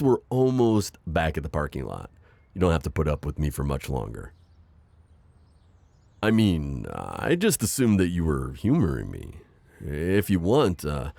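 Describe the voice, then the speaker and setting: A man playing a deep-voiced, bashful divorced dad. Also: no room sound, full character voice. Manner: hesitant, full of ums and stammers, joking softly. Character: gentle, self-deprecating divorced middle-aged construction-worker dad. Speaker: voice actor. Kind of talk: ASMR roleplay.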